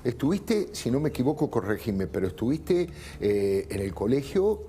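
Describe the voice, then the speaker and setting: A man's voice: medium pitch, sing-song, normal speed, warm tone, a little hiss or hum. A man with an Argentine accent interviewing a guest, asking conversational, probing questions. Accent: Argentine Spanish.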